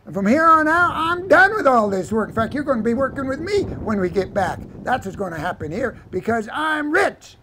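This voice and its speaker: A man's voice: medium pitch, unhurried, long pauses, dark tone, a little hiss or hum, close to an everyday voice. A man voicing a boastful, insistent cowboy vaquero in a live-told Western tale. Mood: boastful, excited. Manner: insistent, cocky. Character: excitable, boastful young cowboy vaquero who claims riches.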